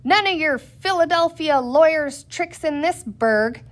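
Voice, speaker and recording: A woman's voice: high-pitched, expressive, measured pace, pitched above the performer's own voice. A woman voicing a bossy, contemptuous male Western sheriff in a storybook, with a blustering, declamatory manner.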